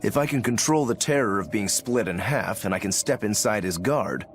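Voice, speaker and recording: A determined demon swordsman from a video game, low in pitch and measured in delivery. He explains himself with stoic resolve, over background music. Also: lively intonation. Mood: earnest, resolute. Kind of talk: English video game voice acting.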